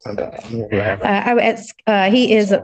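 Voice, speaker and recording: A woman speaking as a defense attorney in court, hesitant and halting as she searches for words. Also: medium pitch, fairly even intonation. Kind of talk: spoken argument in court.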